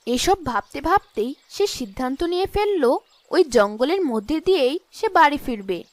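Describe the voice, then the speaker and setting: A woman's voice: sits high, big pitch swings, normal speed, no room sound. A woman narrating a scripted cartoon story as its storyteller.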